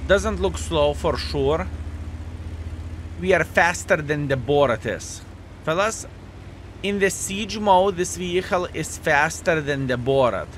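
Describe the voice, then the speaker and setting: A man's voice: a little high, lively intonation, takes it slowly, a bit bright, a little hiss or hum. A man with a Lithuanian accent, hosting a video and chatting casually through a game, often calling his viewers "fellas". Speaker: gaming video host. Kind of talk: casual gameplay commentary.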